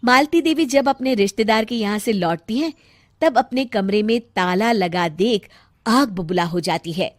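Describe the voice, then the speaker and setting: A woman storyteller giving scripted storybook narration in a calm, measured way. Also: mid-range voice, no echo, clean studio sound.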